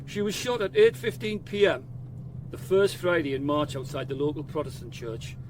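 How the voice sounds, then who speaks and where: Actor as flustered Northern English chief constable, fairly high. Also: male voice, steady tone, moderate pace, slight background noise, close to an everyday voice, low-quality recording. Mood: informative. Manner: hesitant, polite, apologetic. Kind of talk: BBC radio drama acting.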